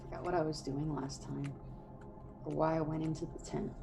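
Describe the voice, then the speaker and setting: A hoarse woman playing in a tabletop game, talking in a halting, casual way. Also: low-pitched, little pitch movement, music in the background. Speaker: player. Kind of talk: casual chat.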